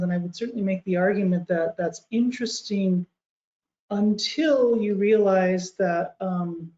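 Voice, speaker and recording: A woman's voice: medium pitch, warm tone. A woman panelist in a webinar debate, thinking aloud with frequent restarts over a video call. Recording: echoey, dull video call audio.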